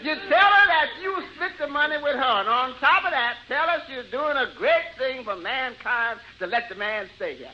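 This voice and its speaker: An actor playing a breezy, shifty lawyer in a radio sitcom, chattering along and repeating himself. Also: male voice, very high voice, lively intonation, normal speed, hardly any pauses. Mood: sly, confiding. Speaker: comic supporting actor. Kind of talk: 1940s radio sitcom acting. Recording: muffled old radio recording.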